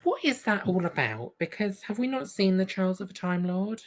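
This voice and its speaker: A woman's voice: mid-range voice, lively intonation, moderate pace. A woman chatting casually as a podcast co-host, playful in the way she talks. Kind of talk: casual chat reviewing an audio drama.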